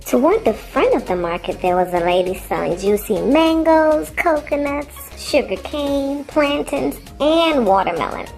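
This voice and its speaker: Woman, animated children's storyteller, high lively voice, deliberate teaching delivery, music behind. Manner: expressive, deliberate, instructive. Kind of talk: animated children's read-aloud narration.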